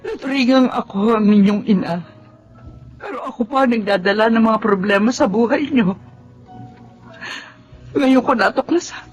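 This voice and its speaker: A woman's voice: fairly high pitch, normal speed, pauses often, soft background music, lightly acted, poor audio. A woman playing an older mother of grown daughters in a Filipino drama, with an emotive delivery. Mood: remorseful. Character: money-hungry mother of grown daughters, turning remorseful.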